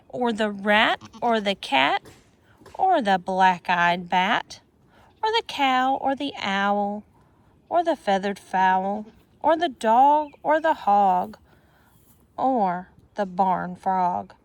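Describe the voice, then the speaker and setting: Slow, sing-song woman reading a storybook. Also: fairly high pitch, no echo. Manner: expressive sing-song storytelling with chatty asides. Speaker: teacher reading aloud to children. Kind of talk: reading a rhyming picture book aloud. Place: farm with goats, outdoors.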